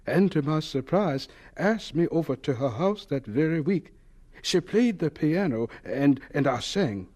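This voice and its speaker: A humble young artist in an audio drama, gentle and earnest. His fairly high voice swings freely up and down, and he speaks with heartfelt hesitations. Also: lively intonation, a little slow. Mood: warm reminiscence.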